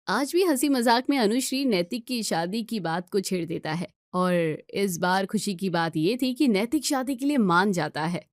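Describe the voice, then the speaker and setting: A woman's voice: medium pitch, expressive, normal speed, hardly any pauses, dry recording, studio quality. A woman reading a scripted story as a storyteller.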